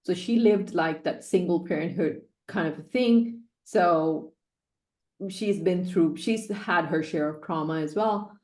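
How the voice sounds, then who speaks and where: A woman in her forties speaks as a podcast guest, her voice sitting a little low. She talks earnestly and repeats her key phrases to drive them home. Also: a little slow, darkish tone, roomy sound. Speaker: guest, sales coach. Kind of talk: personal story in a podcast interview.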